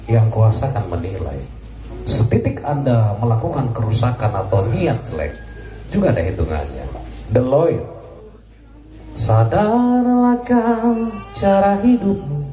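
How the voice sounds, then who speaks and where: A man, a military officer, giving a joking, lively speech at a community gathering. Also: lowish voice, normal speed. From Indonesia. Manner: jokey and lively, laughs at his own jokes. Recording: echoey, distant, crowd noise.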